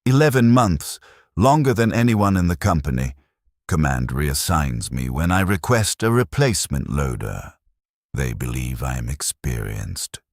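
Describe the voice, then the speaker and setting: Stoic veteran soldier for a war audiobook, played by a male narrator, bottomless low register, precise and fatalistic. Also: creaky, dry recording, clean studio sound, exaggerated voice. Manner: flat, precise, deliberate. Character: battle-worn male heavy gunner, flat, stoic, fatalistic. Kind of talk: character dialogue in military sci-fi story narration.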